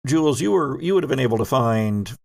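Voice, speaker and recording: Guy game master, creaky midrange voice, deliberate and halting, asks players stuff. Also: medium pitch, animated, a little mellow, no echo, studio quality. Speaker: game master and podcast host. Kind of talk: narrating and questioning in tabletop game.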